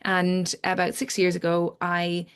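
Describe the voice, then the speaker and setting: A middle-aged woman with a slightly low voice presents an online webinar as its host. She speaks informally, explaining in long sentences that build up lists of points. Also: monotone, a little slow, a bit bright, smooth. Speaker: webinar host, academic scientist.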